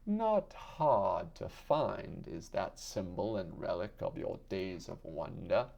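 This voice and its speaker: A man giving a solemn, deliberate, theatrical reading of literary fiction as an audiobook narrator. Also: deep voice, sing-song, vocal fry, darkish tone. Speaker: audiobook reader.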